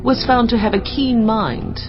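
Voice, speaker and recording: A woman's voice: a little high, wildly swooping pitch, unhurried, vocal fry, heavily acted. An actress playing a composed queen of ancient Babylon giving counsel in a dramatized scripture reading, declamatory and reassuring. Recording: dull lo-fi audio with music.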